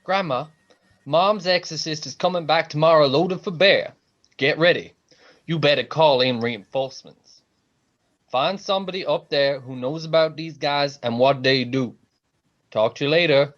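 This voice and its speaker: A man's voice: a little high, moderate pace, fairly bright tone, close to an everyday voice. A man playing a young grandson confiding in his ghost grandmother, in an amateur radio play with dull sound. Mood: urgent, conspiratorial.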